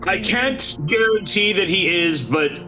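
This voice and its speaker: A man's voice: sits high, expressive, a little slow, bright, forceful tone, faint music behind, low-quality recording. A man playing a tabletop game, chatting casually as he moves in and out of character.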